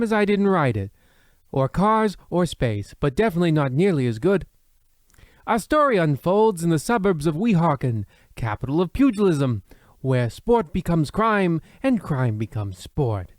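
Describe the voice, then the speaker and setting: A man's voice: on the high side, a little mellow, no echo, clean studio sound. A man playing a pompous, rambling, self-admiring host of an old-time radio mystery play, with theatrical delivery. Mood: grandiose.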